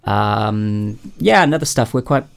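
Give me a man with a gravelly voice, chatting hesitantly as a radio guest. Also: on the low side, expressive, unhurried. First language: Welsh. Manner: hesitant, many fillers and restarts. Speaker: band member guest. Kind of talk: casual radio chat.

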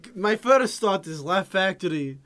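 A man playing a boastful foreign comedian guest on a podcast, speaking broken English with grandiose deadpan self-promotion. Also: high voice, moderate pace. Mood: pompous. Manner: broken English, dropping articles. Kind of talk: improvised comedy podcast bit.

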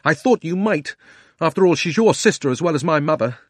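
A man's voice: on the high side, lively intonation, a little fast, no echo, studio quality, pitched above the performer's own voice. Guy doing an earnest young boy in a storybook, a bit raised, fired up. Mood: indignant. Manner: earnest, pleading, indignant. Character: earnest young boy, determined and hot-tempered.

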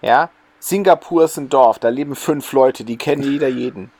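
A man's voice: medium pitch, moderate pace, darkish tone, dry recording. A man co-hosting a podcast in casual, joking conversation. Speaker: podcast co-host.

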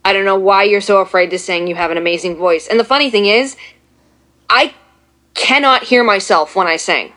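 Chatty woman with a deep voice. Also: moderate pace, sharp tone. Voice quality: deeper voice for a woman. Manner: chatty, self-deprecating, talks at length. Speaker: guest. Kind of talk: casual chat.

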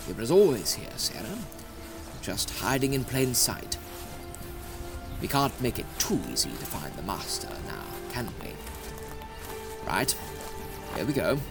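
A man's voice: mid-range voice, sing-song, measured pace, creaky, long pauses, loud music behind the voice. A man playing a lordly, wry scientist hero and dandy in an audio drama. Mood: breezy, self-assured.

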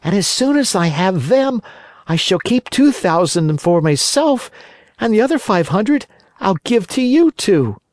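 A storybook reader voicing a naive, excitable wooden puppet boy, full of wonder. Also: medium pitch, a little slow, dry recording, pitched above the performer's own voice. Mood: eager. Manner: wondering, eager.

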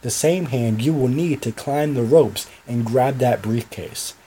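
Guy putting on a low slow threatening wrestler baddie, full of himself, mellow dark tone. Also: slight background noise, lightly acted. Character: menacing god-like male wrestler villain.

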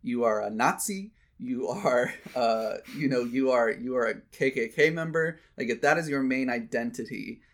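Emphatic man chatting casually, medium pitch. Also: animated, moderate pace, hardly any pauses. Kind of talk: casual opinionated conversation.

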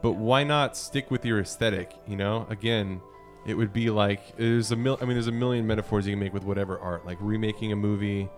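Lowish-voiced man in casual discussion. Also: steady tone, fairly quick, faint music behind. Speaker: podcast panelist.

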